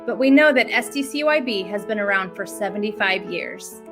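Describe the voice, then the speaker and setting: A woman's voice: mid-range voice, lively intonation, moderate pace. A woman delivering a scripted address to viewers as the head of an organization. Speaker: ballet school president and CEO. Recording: prominent music under the voice. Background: music.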